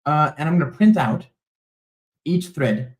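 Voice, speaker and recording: A man's voice: fairly high pitch, lively intonation, measured pace, roomy sound. A man hosting a live coding stream, rambling through the code with lots of fillers and checks like "okay?" and "right?". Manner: talks to a live chat while typing code. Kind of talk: live coding walkthrough, casual and chatty.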